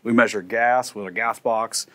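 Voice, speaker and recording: A man's voice: lowish voice, fairly even intonation, normal speed, bright, forceful tone. A man in his thirties giving a casual, detailed technical explanation as a factory tour guide, in a matter-of-fact way. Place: indoors, sound-testing room and factory floor.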